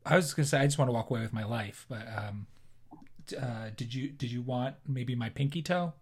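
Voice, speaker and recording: Halting, self-mocking male co-host, mid-pitch voice. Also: husky. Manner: hesitant, self-deprecating. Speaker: podcast co-host. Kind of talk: casual improv chat.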